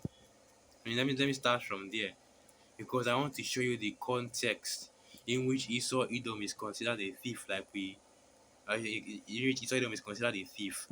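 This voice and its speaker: A man with a hoarse voice from a sore throat, preaching a sermon and reading scripture in a halting way, with many fillers and restarts. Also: medium pitch, fairly even intonation, sharp tone, a little hiss or hum, low-quality recording.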